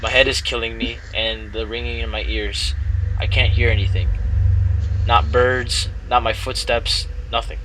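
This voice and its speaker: A man's voice: mid-range voice, little pitch movement, moderate pace, a bit bright, slight background noise, poor audio. A man hosting a gaming video, chatting casually and reading story text aloud, often stumbling and repeating words.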